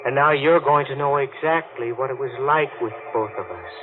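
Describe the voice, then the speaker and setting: A man acting the calm, taunting ghost of a drowned man in old-time radio horror, slow, menacing and hypnotic. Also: medium pitch, natural voice. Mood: quietly menacing. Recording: tinny vintage radio recording with music.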